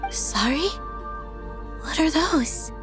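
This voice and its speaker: High-voiced, sweet young moon goddess. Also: female voice, animated, extremely slow, heavily acted. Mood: curious. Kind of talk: fantasy game cutscene acting. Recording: studio voice over faint game music.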